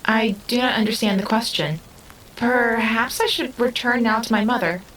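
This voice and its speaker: A woman's voice: fairly high pitch, moderate pace, a bit bright, low-quality recording. A woman playing a young otherworldly being who speaks earnestly in formal, stilted, precise sentences in an audio drama. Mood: solemn, uncertain. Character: young otherworldly female being, earnest, literal, curious, restless.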